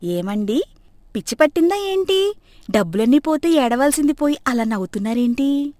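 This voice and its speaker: A woman's voice: high-pitched, animated, normal speed, mellow, no echo, studio quality. A woman voicing a cheeky, mischievous young wife and daughter-in-law in a comic story, teasing and exclamatory. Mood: incredulous, exclamatory.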